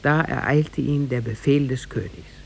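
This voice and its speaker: A man's voice: medium pitch, moderate pace, gravelly. Male storyteller, slightly noisy vintage recording. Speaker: narrator. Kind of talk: scripted storybook narration in 1950s radio drama. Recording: noisy 1950s radio recording.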